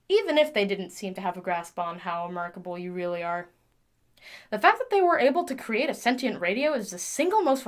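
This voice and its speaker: A woman's voice: medium pitch, close to an everyday voice. A woman playing an earnest, methodical mechanic in an audio drama, speaking formally and wordily as if logging repair notes. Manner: precise, formal, wordy. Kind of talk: audio drama, spoken log entry.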